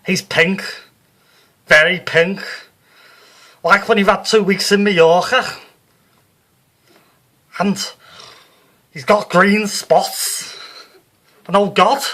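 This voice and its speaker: A man voicing a brash, bossy female stage psychic from a storybook, with a Liverpool accent and loud theatrical patter. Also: sits high, steady tone, takes it slowly, pauses often, pitched above the performer's own voice, heavily acted. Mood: alarmed, dramatic.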